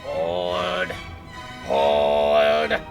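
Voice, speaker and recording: A man's voice: a bass voice, monotone, very slow, over loud music, heavily acted. A man playing a battle-hardened ship's captain in a nautical audio drama, urgent and theatrical as he commands his crew. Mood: commanding, fierce.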